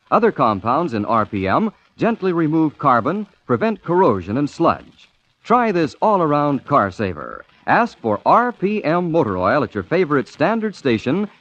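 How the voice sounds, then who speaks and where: A man's voice: fairly high pitch, a bit bright. A man announcing a sponsor's message in the style of a 1940s radio commercial. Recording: muffled 1940s radio recording.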